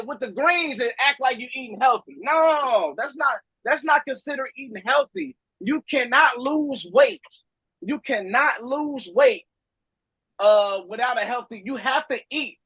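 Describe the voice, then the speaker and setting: Husky, high-voiced man teasing on a call. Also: no echo, poor audio. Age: 30s. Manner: teasing, animated, emphatic. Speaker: meeting participant. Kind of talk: casual chat. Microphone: video call.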